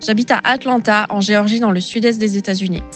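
A woman's voice: mid-range voice, fairly even intonation, fast talker, soft background music. French woman in her thirties. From France. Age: 30s. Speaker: interviewee.

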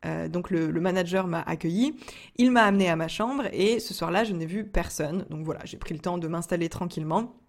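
Young woman from France, low-pitched, casual vlog storyteller, talks with many fillers. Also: a little fast. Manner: chatty, frequent fillers and restarts, laughs often. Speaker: entrepreneur vlogger.

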